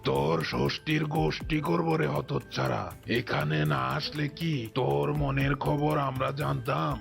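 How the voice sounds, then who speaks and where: A man voicing a stern, angry lion king in a children's cartoon, with a gravelly, threatening delivery. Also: on the high side, steady tone, measured pace, soft background music. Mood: furious. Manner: threatening, scolding.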